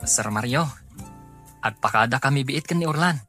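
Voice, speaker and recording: A man's voice: medium pitch, moderate pace, sharp tone, soft background music, close to an everyday voice. A man acting a caring, devoted young man in a radio drama, speaking with an Ilocano accent. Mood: polite, cheerful.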